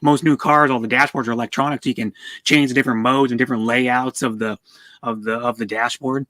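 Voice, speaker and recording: A man's voice: mid-range voice, fairly even intonation, quick pace, sharp tone, dry recording. Man rambling casually, dry close sound. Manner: rambling, stammering, repeats words. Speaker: streamer. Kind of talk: casual car chat on a livestream.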